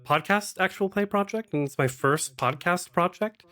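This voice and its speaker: A man's voice: high-pitched, normal speed, sharp tone. A man speaking as a podcast guest in a casual conversation, with hesitant, reflective phrasing and repeated words. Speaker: podcast guest, actual play streamer and producer.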